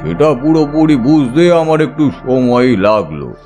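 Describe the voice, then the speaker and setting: Expressive man narrating over music. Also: medium pitch, a little slow. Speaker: audiobook narrator. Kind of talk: dramatic first-person story narration. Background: background music.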